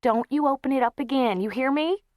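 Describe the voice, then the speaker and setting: A woman reading an audiobook, voicing a fussing, scolding middle-aged aunt in a brisk manner. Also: high-pitched, a little mellow, no echo, clean studio sound, pitched above the performer's own voice, close to an everyday voice.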